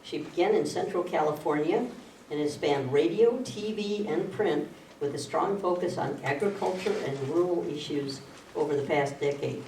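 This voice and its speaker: A moderator introduces the evening's speakers in a plain, friendly way, with small joking asides. The voice sounds far from the mic in an echoey room, with clear background noise. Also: medium pitch, normal speed. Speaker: moderator for the organizing group. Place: community meeting room. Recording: echoey room audio recorded from afar, with noise.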